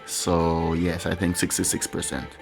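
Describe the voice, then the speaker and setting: A man with a deep, low-pitched voice and a lilt talks through a game session in a loose, wandering way. He is candid and drops the odd curse as he goes. His own music plays faintly underneath. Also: completely flat delivery, measured pace, vocal fry. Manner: rambling, candid, swears casually. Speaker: gaming channel host. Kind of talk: casual gameplay commentary. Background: his own music playing faintly.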